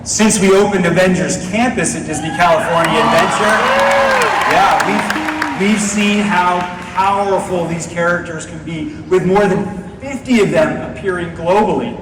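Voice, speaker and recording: A high-pitched man delivers an upbeat keynote, repeating phrases for emphasis. He is heard across a big reverberant hall, with a loud crowd around the recording. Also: moderate pace, loud music behind the voice. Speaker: presenter on stage at a company event. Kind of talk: upbeat stage keynote presentation. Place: large indoor auditorium stage, recorded from audience. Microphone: distant phone in audience picking up PA. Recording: echoey hall from far off, loud crowd, noisy.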